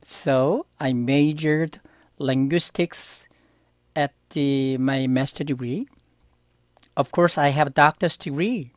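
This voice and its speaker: A middle-aged man from Korea with a Korean accent, giving a beginner language lesson in English. He talks slowly and carefully, stresses key words and asks his learners questions. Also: a little high, dry recording, clean studio sound.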